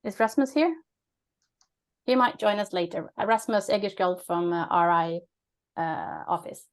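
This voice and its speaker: A woman hosting an online meeting, calling on speakers in a relaxed way. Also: mid-range voice, measured pace. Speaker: host, zone coordinator. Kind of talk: meeting moderation.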